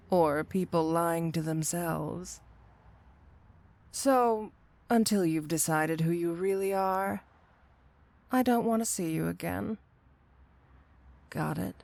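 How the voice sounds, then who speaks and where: A woman's voice: lowish voice, vocal fry, darkish tone, no room sound. A woman playing a flirty, teasing supervillain cat burglar in an ASMR roleplay audio drama, with a coy, seductive delivery. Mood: cool, firm. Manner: coy, teasing, drawn-out delivery with rhetorical tag questions.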